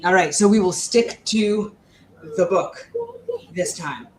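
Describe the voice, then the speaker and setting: A woman's voice: fairly low pitch, takes it slowly, a little mellow. A woman in her thirties chatting casually and bluntly as she co-hosts a book discussion, with a sardonic streak. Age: 30s. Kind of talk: casual chat, book commentary. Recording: roomy echo, faint background noise.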